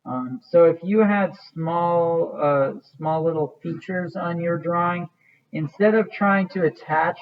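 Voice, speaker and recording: A man's voice: a little high, unhurried, muffled recording. A man teaching an art class, walking students through a clay project in a casual, rambling way with many fillers. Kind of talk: classroom demonstration.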